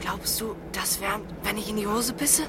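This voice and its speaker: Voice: medium pitch, fairly even intonation, normal speed, heavy background noise, lightly acted. A performer playing a scornful, domineering boy of thirteen, a scheming ringleader in a German radio drama. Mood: impatient. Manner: bossy, contemptuous, intense.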